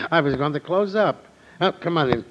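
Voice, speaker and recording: An actor playing a chatty, folksy camera shopkeeper full of sales patter in a 1940s radio drama. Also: male voice, on the high side, lively intonation, normal speed, close to an everyday voice. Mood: eager. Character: eager, chatty male camera shopkeeper, small-time salesman. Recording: muffled, noisy old radio recording.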